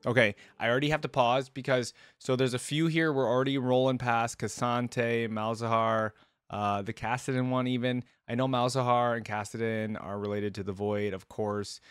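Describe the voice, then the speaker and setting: A man's voice: medium pitch, fairly even intonation, normal speed, clean tone, no echo. A man hosting a reaction video with casual, informal commentary, thinking aloud as he goes. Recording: dry close voice, music behind.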